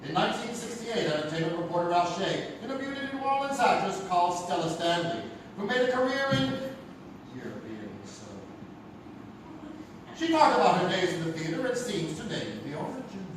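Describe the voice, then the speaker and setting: A man's voice: high-pitched, sing-song, brisk. A man playing a storyteller who narrates ghostly mysteries in a theatrical, suspenseful live radio-theatre style. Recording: echoey, noisy live theatre from far off.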